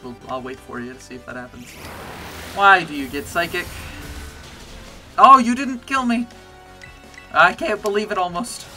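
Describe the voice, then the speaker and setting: A man with a bass voice streaming a game, chatting casually and getting excited or pleading with the game as he plays. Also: moderate pace, long pauses, faint music behind. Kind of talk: casual gameplay commentary. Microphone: desk mic with webcam.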